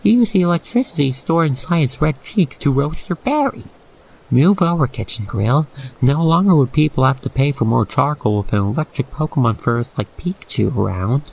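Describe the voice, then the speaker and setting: Expressive, lilting man narrating ASMR roleplay. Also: medium pitch, normal speed, creaky, rough recording. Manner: expressive, theatrical, wordy storytelling.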